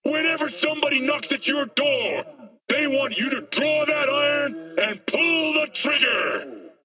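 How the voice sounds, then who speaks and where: An actor playing a fiery dystopian street preacher in a video game, ranting in an impassioned, declamatory way. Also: male voice, extremely high pitch, very animated, a little slow, bright, forceful tone, distant mic, heavily acted. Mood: angry, urgent. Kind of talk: dramatic video game monologue.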